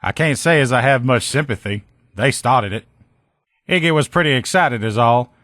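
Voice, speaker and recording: A man's voice: mid-range voice, lively intonation, moderate pace, a bit bright, no echo, studio quality, lightly acted. A man playing an upbeat, loyal army lieutenant and armor mechanic, with folksy, informal soldier talk, as video game dialogue. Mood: matter-of-fact, determined.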